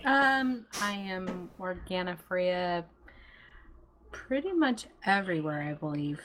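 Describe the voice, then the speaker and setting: A woman's voice: fairly low pitch, animated, slow pace, fairly bright tone, a little hiss or hum. A woman chatting casually as a co-host on a podcast. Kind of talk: casual chat.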